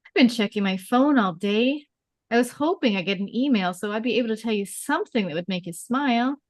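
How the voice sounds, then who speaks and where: A woman's voice: a little high, expressive, fairly quick, no echo, pitched above the performer's own voice, natural voice. A woman at a script table read playing a pregnant young daughter, earnest, tender and hopeful. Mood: excited.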